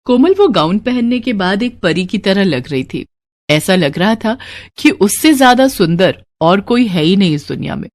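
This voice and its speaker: A woman's voice: mid-range voice, sing-song, moderate pace, dry recording. A woman storyteller reading a scripted moral tale for children as the narrator.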